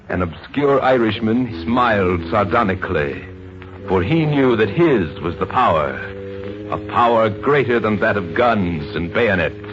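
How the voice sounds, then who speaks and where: A man narrating a 1950s radio drama in a grave, deliberate, suspenseful scripted style. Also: mid-range voice. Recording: muffled vintage radio recording. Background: dramatic music.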